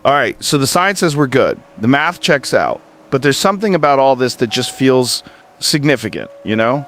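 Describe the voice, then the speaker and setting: A man's voice: mid-range voice, sing-song, normal speed, a bit bright, a little hiss or hum. A man playing a laid-back, curious podcast host in an audio drama, talking in a chatty, musing and informal way. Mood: uneasy, musing. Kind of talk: casual podcast interview in a scripted audio drama.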